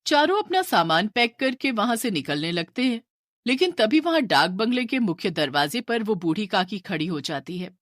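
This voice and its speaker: A woman's voice: mid-range voice, very animated, normal speed, dry recording, studio quality. A woman narrating a scripted horror story in a dramatic storytelling style.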